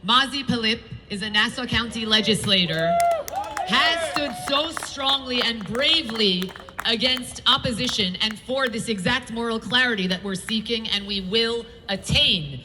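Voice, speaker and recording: A woman's voice: on the high side, moderate pace, long reverb tail, noisy background. A woman emceeing an outdoor rally, enthusiastically introducing each speaker.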